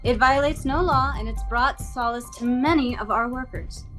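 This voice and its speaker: A woman playing a cool, controlled, persuasive corporate boss in a table read, speaking in measured, deliberate speeches. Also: fairly high pitch, a bit bright, faint music behind, lightly acted. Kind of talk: live table read of a TV script.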